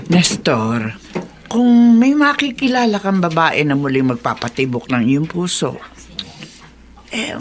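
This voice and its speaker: Elderly Filipino grandmother, caring, gently probing, lively intonation, radio drama, faint noise. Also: lowish voice. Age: older. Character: caring, inquisitive grandmother and mother of a grown son.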